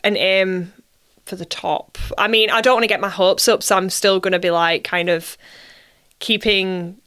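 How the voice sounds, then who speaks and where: A woman's voice: mid-range voice, fairly even intonation, normal speed, a bit bright, clean tone. A British woman chatting casually and enthusiastically as an interview guest.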